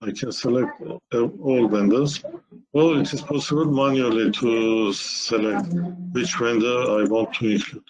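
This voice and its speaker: Male webinar presenter, very unhurried and halting, medium pitch, walking through software steps. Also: steady tone, low-quality recording. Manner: halting, step-by-step explaining in short phrases.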